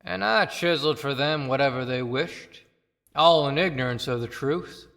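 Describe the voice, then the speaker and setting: A woman's voice: very deep voice, normal speed, a bit bright. A woman voicing a weary, rueful male tombstone carver in a theatrical audio play monologue, with long reverb around the voice. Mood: rueful, measured.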